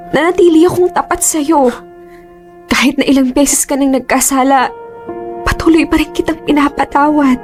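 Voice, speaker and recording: Female actor as tender, pleading young fiancée for Filipino radio drama; fairly high voice, emotional delivery over music. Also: natural voice. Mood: hurt, pleading. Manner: emotional, imploring. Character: earnest, pleading young bride-to-be, torn and tender.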